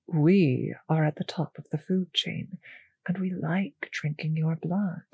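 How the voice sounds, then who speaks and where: An actress playing a possessive, condescending vampire mistress in an intimate roleplay audio drama, speaking in a breathy, husky, patronizing, savouring way. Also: female voice, sits low, steady tone, slow, no echo, muffled recording, heavily acted. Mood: haughty, cold.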